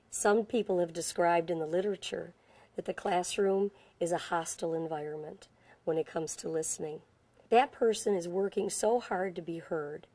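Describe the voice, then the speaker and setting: A woman with a low, mellow voice talks earnestly about schools. She explains things in a steady way, and her voice is recorded dry and close. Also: dry recording. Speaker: school staff member. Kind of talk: documentary interview.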